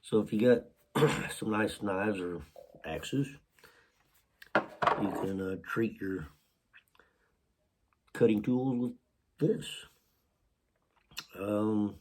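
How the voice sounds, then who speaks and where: A man hosting a casual unboxing vlog while sick with a cold, hoarse, halting, often clearing his throat, with a Southern US accent. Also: fairly low pitch, slight background noise. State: sick with a cold, voice partly lost. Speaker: channel host.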